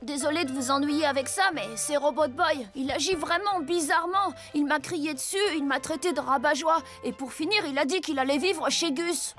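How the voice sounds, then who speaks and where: A woman's voice: high-pitched, normal speed, few pauses, fairly bright tone. A woman voicing an earnest, downcast young boy in a cartoon dub. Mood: worried. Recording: music behind the voice.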